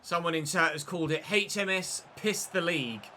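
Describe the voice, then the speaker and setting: A young man commentating his football video game career, chatty and excitable, breaking into shouts when he scores. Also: on the high side, fairly quick, slight background noise. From England. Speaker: gaming YouTuber.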